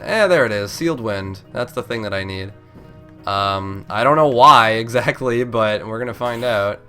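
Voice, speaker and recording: A man's voice: on the low side, a bit bright. A man with a congested, stuffed-up voice giving casual, rambling video game commentary, often interrupting himself and swearing freely. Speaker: let's play host. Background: video game music.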